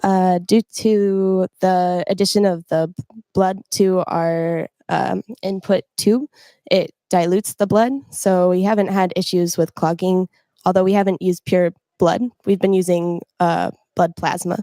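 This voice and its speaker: Young woman answering q&a, mellow voice, dry sound, lots of ums. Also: on the low side, fairly even intonation, measured pace, no echo. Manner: hesitant, many fillers. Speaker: student team presenter. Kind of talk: answering questions after a talk.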